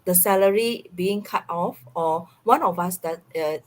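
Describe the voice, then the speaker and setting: A middle-aged woman from Indonesia with an Indonesian accent, speaking haltingly as a guest in a casual live online talk. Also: lowish voice.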